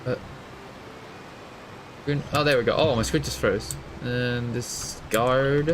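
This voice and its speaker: A man's voice: medium pitch, fairly even intonation, takes it slowly, low-quality recording. A man giving casual, chatty, slangy live commentary as a game streamer, in a laid-back way. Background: game music, typing.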